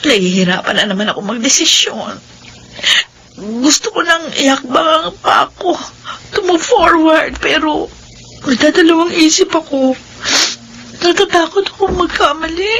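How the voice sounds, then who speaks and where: An actress playing a young widow in a radio drama, expressive and thinking aloud as she struggles to move on. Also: measured pace, slight background noise, low-quality recording. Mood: hesitant. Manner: high, expressive, talks to herself. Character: young widow torn by grief, sweet and unsure. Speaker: lead character, young widow.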